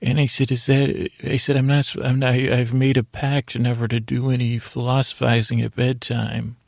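A man tells a meandering bedtime story as a podcast host. His voice is heavily gravelled with fry and stays in a narrow, even range. He halts, drifts and restarts phrases, all captured in a close, dry sound. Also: mid-range voice, dry recording. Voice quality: gravelly, creaky voice. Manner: halting, meandering, trailing off and restarting mid-phrase. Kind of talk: rambling bedtime storytelling monologue.

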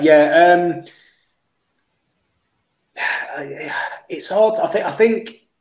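A man's voice: medium pitch, a little mellow. A man chatting casually on a fan podcast, stumbling through restarts and fillers as he works his way to a point. His voice sits in the middle range for a man. The recording is roomy and somewhat muffled. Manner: rambling, halting with many restarts and fillers. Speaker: football podcast panelist. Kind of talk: casual chat. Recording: roomy, dull lo-fi sound.

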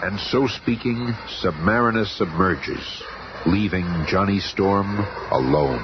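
A man's voice: low voice, slow. A man narrating a radio serial from a script in a grand, theatrical, dramatic manner. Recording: muffled, noisy old radio recording.

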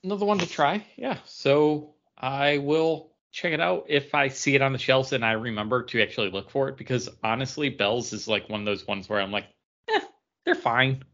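A man's voice: medium pitch, moderate pace, a bit bright. A man talking casually as a co-host on a podcast. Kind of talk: casual chat. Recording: dull lo-fi audio.

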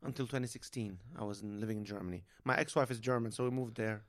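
A man's voice: fairly low pitch, steady tone, fast talker. A man in his forties from Lebanon, a comedian chatting and joking as a podcast guest in a motel room. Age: 40s. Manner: chatty, jokey storyteller. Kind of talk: casual podcast chat.

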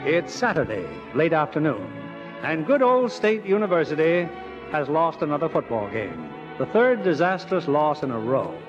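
Male vintage radio host: polished, formal, fairly high and animated, slightly muffled broadcast sound. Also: normal speed, over music. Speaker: radio theatre host and producer. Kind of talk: scripted, theatrical 1950s radio presenting. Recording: dull old radio broadcast recording.

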